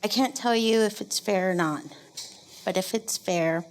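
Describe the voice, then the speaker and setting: A woman playing an earnest drama student who recites a monologue in a deliberate, stagey way. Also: medium pitch, a little hiss or hum, lightly acted, low-quality recording. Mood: earnest, solemn. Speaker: acting student. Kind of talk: theatrical monologue in comedy.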